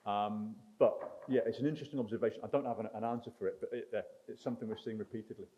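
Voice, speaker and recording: A man's voice: on the low side, fairly even intonation, rapid-fire, dark tone, muffled recording, slight background noise. A man answering audience questions after a research talk, careful and hedging with many ums. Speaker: research speaker. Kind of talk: conference Q&A answer. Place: lecture hall.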